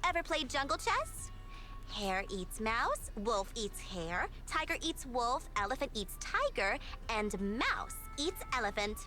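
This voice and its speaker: A brash young mercenary boss with a high, very animated voice. She boasts and teases theatrically, like a video game schemer with a plan for everything. Music plays behind her. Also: slow, full character voice. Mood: boastful, scheming. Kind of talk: anime-style video game voice acting. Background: background music.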